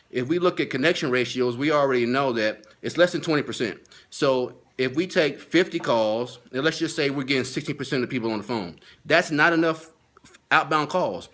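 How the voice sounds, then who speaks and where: A man with a medium-pitched voice gives an informal training talk in the role of a sales consultant. He speaks emphatically and comes back to his key points, repeating them to drive them home. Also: fairly bright tone.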